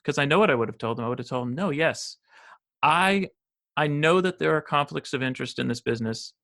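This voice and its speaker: A man's voice: fairly high pitch, expressive, a little fast, gravelly, fairly bright tone. An American man in his fifties, a financial advisor talking as a podcast guest, rambling with many restarts and fillers. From United States. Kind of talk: casual interview conversation.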